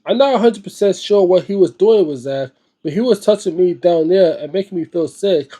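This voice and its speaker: A man with a notably high voice and a soft, dark-edged, relaxed tone reads a book aloud. He moves between narration and informal asides, sometimes tripping over the wording. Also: normal speed. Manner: stumbles over words while reading, informal interjections. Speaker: host reading a book aloud and reacting. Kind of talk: read-aloud narration with casual, off-the-cuff reactions.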